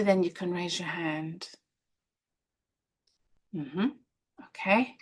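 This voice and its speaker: A middle-aged woman with an Irish accent, hosting a live online webinar and encouraging participants with gentle, soft-spoken asides. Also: medium pitch. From Ireland. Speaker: webinar host and women's coach.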